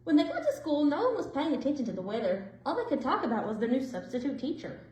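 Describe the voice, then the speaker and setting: A woman reading a children's storybook aloud in an expressive, deliberate way. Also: sits high, a little hiss or hum. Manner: animated, deliberate phrasing. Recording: roomy indoor echo.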